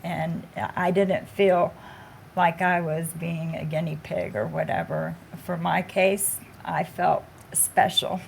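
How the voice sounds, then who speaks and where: A woman on a panel talking about her own cancer treatment, slow and halting, with many fillers. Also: lowish voice, fairly even intonation, vocal fry, slight background noise. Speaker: patient panelist. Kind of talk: panel discussion, personal story.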